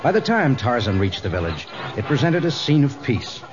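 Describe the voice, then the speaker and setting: An actor as the narrator of a radio adventure serial, telling the story in a formal, dramatic way. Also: male voice, medium pitch, very animated, moderate pace, close to an everyday voice. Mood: dramatic, measured. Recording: dull old radio recording, music behind.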